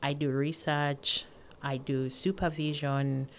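A woman in her forties from northern Uganda, a guest answering interview questions in a calm, measured way. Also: sits low, a little hiss or hum. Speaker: podcast guest, academic.

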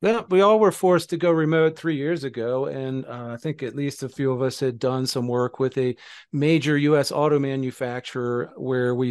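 A man in his sixties, a software consultant guest, talking in an upbeat, enthusiastic way in a casual panel chat. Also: mid-range voice, smooth, dry recording, studio quality.

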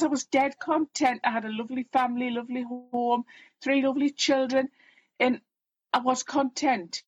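A chatty Geordie woman in her fifties, candid about her life and quick to laugh at herself. Her voice sits fairly high with a husky edge. The recording is close and dry, with a slightly dull, muffled sound. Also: a little mellow, no room sound. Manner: chatty, self-deprecating, plain-spoken. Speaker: guest. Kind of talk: candid personal storytelling.

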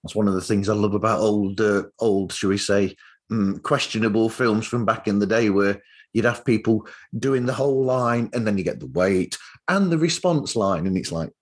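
A man hosting a casual podcast interview, speaking in a halting, conversational way and often repeating his opening words. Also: fairly low pitch. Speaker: podcast host.

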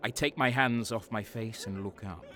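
A man's voice: on the low side, moderate pace, bright, forceful tone. Man playing a cold-hearted soldier narrating war. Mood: grim, detached. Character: cold-hearted, sadistic young male soldier turned traitor. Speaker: narrator and lead character. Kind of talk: first-person dark war audio drama narration.

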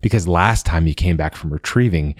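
A man voicing a talkative, persistent young woman who teases and chatters quickly. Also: sits low, no echo, studio quality. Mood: teasing. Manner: talkative, teasing, rapid.

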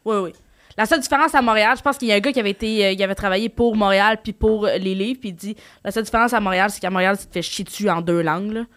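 A woman's voice: mid-range voice, very fast, fairly bright tone. A young woman, a comedian guest chatting casually, slangy and mixing in English words. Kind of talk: casual chat.

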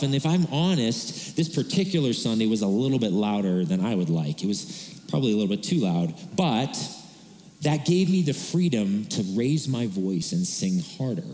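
Loud-voiced worship pastor preaching in an echoey hall. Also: male voice, medium pitch. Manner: earnest, self-deprecating, conversational preaching. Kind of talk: sermon. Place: church sanctuary. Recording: echoey reverberant church hall.

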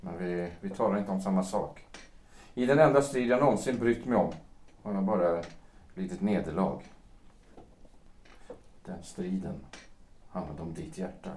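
An actor playing a melancholy, self-pitying writer of fifty in an audio drama, speaking haltingly and broodingly. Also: male voice, low-pitched, a little mellow. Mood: wounded, yearning. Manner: halting, brooding delivery with repeated words. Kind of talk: stage-play audio drama acting. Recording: roomy, echoing sound.

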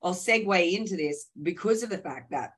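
Low-voiced woman on a video-call podcast. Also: animated, moderate pace, slightly rough. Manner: chatty, emphatic, open. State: voice still recovering, sore throat. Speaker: podcast co-host. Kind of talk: casual chat.

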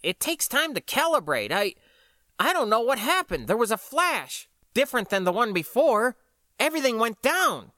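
A man's voice: extremely high pitch, sing-song, moderate pace, sharp tone, no echo, studio quality, voice pushed up, heavily acted. A man doing a shaken, stammering crewman. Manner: shaky, defensive, stammering. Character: shaken young male sensor officer.

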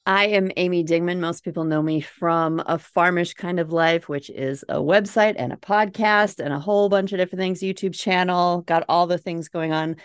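Chatty Minnesota woman, low dry voice. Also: fairly even intonation, normal speed, few pauses, smooth, no echo. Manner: chatty, laughing easily, with filler uhs. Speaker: guest. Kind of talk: casual interview chat.

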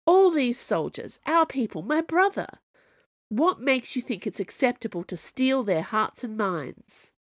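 A woman reading the part of a proud, hot-tempered young princess who speaks high and indignant. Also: very animated, normal speed, no room sound, studio quality. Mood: demanding.

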